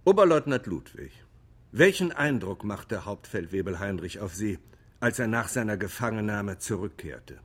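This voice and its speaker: An actor playing a formal male examiner in a radio drama courtroom, putting measured, probing questions to witnesses. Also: on the low side, lively intonation, a bit bright.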